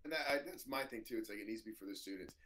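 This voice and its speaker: A hoarse man in his thirties with a slightly low voice talks in a loose, rambling way. He tells stories as a guest, leaning on fillers and the odd swear word. His throat sounds worn out. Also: very fast, a bit bright. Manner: loose, filler-heavy storytelling, swears freely. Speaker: jiu-jitsu professor, guest. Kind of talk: casual interview chat.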